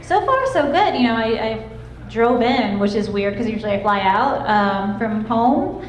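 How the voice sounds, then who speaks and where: A young woman voice actress chatting and laughing on a convention panel, with a self-described soft voice. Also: on the high side, lively intonation, moderate pace. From Los Angeles, California. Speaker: guest voice actress. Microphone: handheld panel mic. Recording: echoey room, clear background noise.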